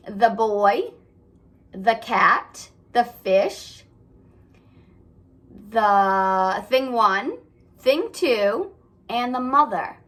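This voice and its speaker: Female teacher talking to little kids, read-aloud lesson style, kinda high voice, instructive. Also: fairly bright tone. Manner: instructive, asks the listeners questions. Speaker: elementary school teacher.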